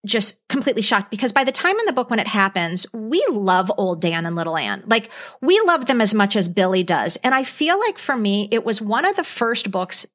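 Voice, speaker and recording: A middle-aged woman speaks as a podcast host in casual conversation. Her voice sits at an average pitch for a woman. She tells things emphatically and expressively, leaning on key words. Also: sing-song, a little fast, fairly bright tone. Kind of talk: casual chat.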